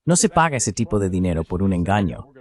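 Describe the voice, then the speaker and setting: A man's voice: deep voice, big pitch swings, fast talker. A man reading a translated voice-over of a political commentator in an interview.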